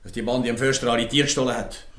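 A man plays a rigid, scornful citizen in a Swiss German radio play. His medium-pitched voice is blunt and sneering, with the echo of a room around it. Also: steady tone, quick pace, fairly bright tone, close to an everyday voice. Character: scornful, rigid upright male townsman. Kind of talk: audio drama dialogue in dialect.

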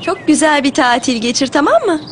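A woman voicing a gentle, caring young mother in a children's cartoon, patient and encouraging. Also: high voice, expressive, brisk, background noise. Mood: kind, encouraging. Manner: patient, encouraging, simple clear phrasing.

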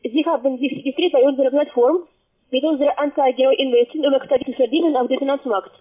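High-pitched foreign-accented woman on tinny phone. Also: steady tone. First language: not German. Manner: halting, broken grammar. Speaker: call-center sales agent pitching trading. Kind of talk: halting scripted phone sales pitch. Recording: tinny, narrowband phone line.

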